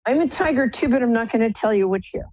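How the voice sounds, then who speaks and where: A woman with a low contralto voice hosting a live psychic call-in show, chatty and effusive, calling callers pet names. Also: expressive, fast, darkish tone, dry recording, lo-fi sound. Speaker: psychic radio host.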